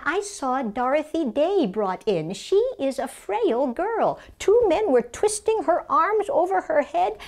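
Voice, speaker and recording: A woman's voice: mid-range voice, a little mellow. Lady playing a frail old suffragette in her 70s, shaken, telling her jail ordeal dramatically and earnestly. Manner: dramatic, earnest first-person recollection. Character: frail, shaken seventy-three-year-old woman suffragist recalling her jailing.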